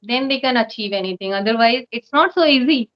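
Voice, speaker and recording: Teacher lady, high voice, earnest advice, kinda dull muffled audio. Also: animated, a little fast. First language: not English. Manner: earnest, advice-giving, laughs often. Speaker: online English teacher. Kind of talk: conversation practice chat.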